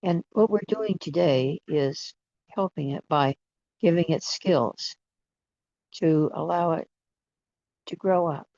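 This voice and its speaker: A person leading a guided imagery meditation in a soothing manner, with long pauses between short phrases. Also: medium pitch, creaky, darkish tone, dry recording. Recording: dull, dry lo-fi recording.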